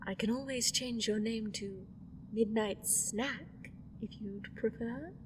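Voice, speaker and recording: A woman's voice: on the high side, slow pace, a little hiss or hum. A woman playing a scheming, mocking villainous empress in an audio drama. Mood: taunting. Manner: taunting, sing-song, petulant. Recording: reverberant room, faint ambience.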